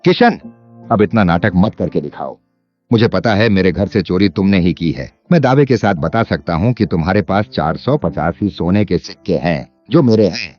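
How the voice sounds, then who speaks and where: A man playing a cunning, greedy village landlord in an animated moral story, speaking with sly emphasis. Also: deep voice, moderate pace. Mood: accusing. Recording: dull lo-fi sound under music.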